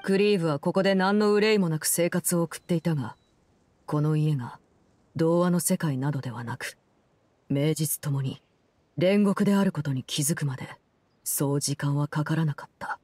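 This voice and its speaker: An actress playing a cold, composed game villainess, telling a story in a measured, solemn and restrained way. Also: female voice, deep voice, a little mellow, no room sound. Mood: grave, composed. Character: cold, composed woman, Fatui Harbinger who runs an orphanage. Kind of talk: scripted game story narration.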